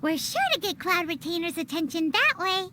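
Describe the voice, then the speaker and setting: A woman voicing a tiny, childlike, excitable fairy companion in a fantasy video game, squeaky and chirpy with a naive manner. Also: normal speed, a little hiss or hum, exaggerated voice. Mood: excited, scheming. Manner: chirpy, naive, talks about self in third person.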